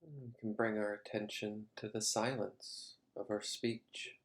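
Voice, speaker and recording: A man leading a guided meditation as a teacher, speaking calmly in short, measured phrases with long pauses. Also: lowish voice.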